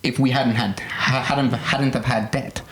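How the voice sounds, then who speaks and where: A man's voice: medium pitch, fairly even intonation, unhurried, fairly bright tone. Young man in a very echoey room. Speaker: podcast panelist. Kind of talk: casual group discussion. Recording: echoey room, faint noise.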